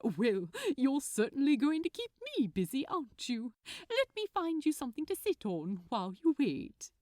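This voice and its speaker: A woman plays a sweet, prim gentleman with impeccable manners. His cheerful, genteel speech swings widely in pitch, like a lilting storybook character, recorded close and dry. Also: big pitch swings, moderate pace, no echo. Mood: cheerful, helpful. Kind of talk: fan fiction audiobook dialogue.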